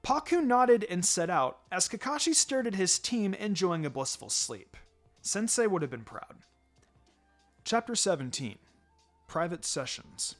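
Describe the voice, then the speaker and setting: A man narrates fiction in an expressive reading style. His intonation moves up and down in a lively way, and music plays behind him. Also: high-pitched. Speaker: story narrator. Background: background music.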